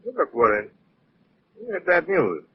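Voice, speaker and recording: Lively, urbane gentleman detective. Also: a little high, normal speed. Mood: observant, helpful. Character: urbane, observant male amateur detective. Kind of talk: 1940s radio acting. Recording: muffled, noisy old radio recording.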